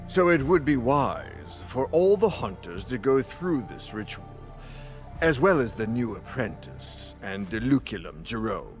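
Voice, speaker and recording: A man's voice: medium pitch, sing-song, unhurried, faint music behind. A man playing an authoritative leader of a hunters' council in a video game, formal and commanding. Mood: stately. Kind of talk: video game cutscene acting.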